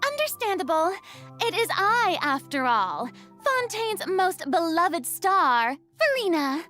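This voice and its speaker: A woman's voice: very high-pitched, very animated, slow, sharp tone, exaggerated voice. A woman voicing a theatrical, haughty young female star in an anime video game, pompous and dramatic, with music playing behind her. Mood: haughty, boastful.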